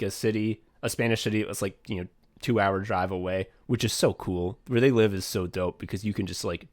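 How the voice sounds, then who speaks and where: A young man co-hosting a podcast in casual chat, rambling and swearing freely, getting excited and laughing as he talks. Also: lowish voice. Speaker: podcast co-host and writer.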